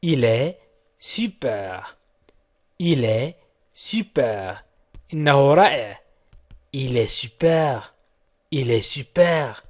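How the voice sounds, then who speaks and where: A man who is a native Arabic speaker, teaching as a language tutor with deliberate word-by-word phrases, repeated and translated. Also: medium pitch, expressive.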